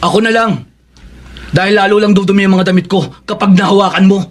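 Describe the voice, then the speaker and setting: Man acting a bitter, scornful young son, high-pitched. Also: steady tone, quick pace, lightly acted. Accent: Filipino. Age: young adult. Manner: cutting, sarcastic. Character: bitter, scornful grown son resenting his mother. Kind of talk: radio drama acting.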